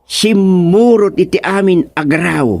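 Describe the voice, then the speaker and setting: An actor playing a stubborn, giggly old father in a comedic radio drama, exclamatory and repetitive with drawn-out words. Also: high voice, gravelly, a little mellow, no echo, heavily acted. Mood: playful, puzzled.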